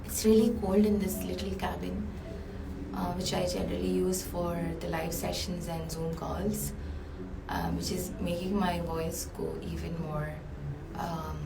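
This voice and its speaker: A tired, hoarse woman hosts a live session as a business coach, chatty with many ums and uhs, speaking from a small cold cabin. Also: on the low side, flat delivery, unhurried. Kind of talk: live-streamed talk. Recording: roomy, far from mic, noisy.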